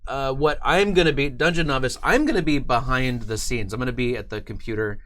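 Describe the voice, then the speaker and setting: Halting, casual man hosting a live stream. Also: medium pitch, fairly bright tone. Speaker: stream host and behind-the-scenes producer.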